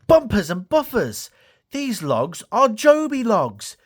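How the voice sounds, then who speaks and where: Man voicing an eager, high-pitched young steam engine. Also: wildly swooping pitch, takes it slowly, exaggerated voice. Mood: excited. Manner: exclamatory, earnest. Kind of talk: children's storybook character voice.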